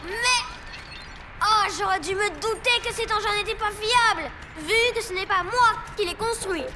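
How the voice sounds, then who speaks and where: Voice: very high voice, moderate pace. An actor voicing a boastful, bossy boy inventor villain in a French children's cartoon, grandiose and demanding. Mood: exasperated. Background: music.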